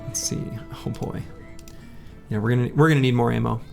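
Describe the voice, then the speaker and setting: A man live-streaming a game, his voice slightly hoarse with a gravelly, creaky edge. He rambles in a loose, chatty way and greets viewers by name. Faint game music plays underneath. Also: medium pitch, fairly even intonation, fairly quick. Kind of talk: casual live-stream chat while playing.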